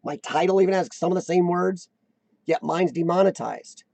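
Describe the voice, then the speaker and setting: A man hosts a casual live-stream chat, rambling and self-deprecating as he doubles back on his sentences. His voice sits fairly high with a gravelly rasp, and it is recorded dry and close with no room around it. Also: normal speed, a little mellow, no echo. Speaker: host of a live chat show.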